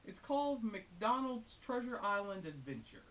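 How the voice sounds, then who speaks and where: A man's voice: sits high, very animated, measured pace, pushed and bright. A man giving a casual, unscripted video game review in a rambling, hesitant but amused and enthusiastic way. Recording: hissy, noisy home recording.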